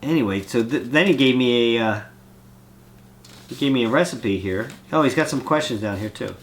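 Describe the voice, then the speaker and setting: A man's voice: medium pitch, normal speed. Congested man chatting through a cold. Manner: rambling, meandering, chatty. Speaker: vlogger reading fan mail. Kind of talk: casual chat.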